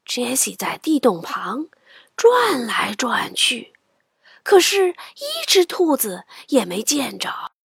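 A woman narrating a children's audio drama in an expressive, dramatic storytelling manner. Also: on the high side, slow pace, gravelly, husky. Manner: dramatic, sometimes hushed storytelling. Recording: music behind the voice.

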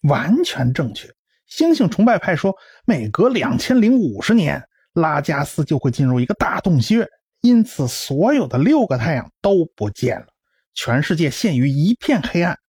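A man's voice: a little high, very animated, normal speed, darkish tone, breathy edge, no room sound, studio quality, heavily acted. A man playing a cocky, talkative scientist in a radio drama, with a blustering, explanatory way of talking. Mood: explaining, storytelling. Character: cocky, talkative male scientist and science populariser, brash and lively. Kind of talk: Chinese radio drama acting.

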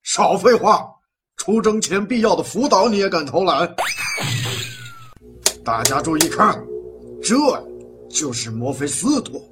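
An actor playing a blustering mentor who lectures young heroes with theatrical bossiness, in a comic audio drama. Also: male voice, high-pitched, animated, a little slow, pauses often. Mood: lecturing. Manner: bossy, theatrical lecturing with sudden shouts. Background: background music.

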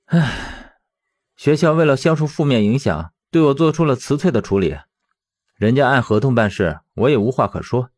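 A man's voice: mid-range voice, expressive, normal speed, long pauses, darkish tone, lightly acted. A man voicing a principled, put-upon schoolteacher in his twenties for an audiobook, resigned and placating in manner. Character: resigned, principled 27-year-old male schoolteacher, kind but put-upon.

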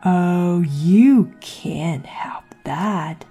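Husky, drawling, sly cat. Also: female voice, fairly low pitch, mellow, soft background music, exaggerated voice. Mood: slyly amused. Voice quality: husky, breathy. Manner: drawling, deliberate. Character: sly, riddling grinning cat, calmly mad. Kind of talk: audiobook character acting.

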